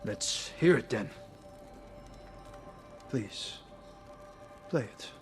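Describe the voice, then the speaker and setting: An actor playing a stern, dutiful middle-aged samurai lord and father in a video game, speaking formally and deliberately. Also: medium pitch, animated, music in the background. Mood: wistful, grieving. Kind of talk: video game cinematic acting.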